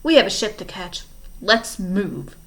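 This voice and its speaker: A woman's voice: mid-range voice, expressive, unhurried, fairly bright tone. A woman reading aloud and voicing a scheming, menacing male villain who mocks the children. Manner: mocking, menacing.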